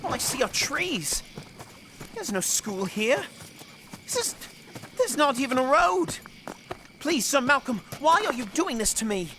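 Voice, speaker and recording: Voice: high-pitched, very animated, a little slow, pauses often, pushed and bright, slight background noise, heavily acted. A performer playing a spoiled, indignant boy prince in an audio drama, haughty and full of protest.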